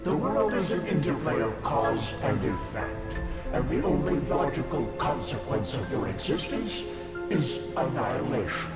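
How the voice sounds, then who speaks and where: An actor playing a menacing, contemptuous godlike villain in a video game, speaking in a pompous, deliberate, taunting manner. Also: male voice, mid-range voice, sing-song. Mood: menacing contempt. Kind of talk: dramatic game voice acting. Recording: echoing voice under loud music.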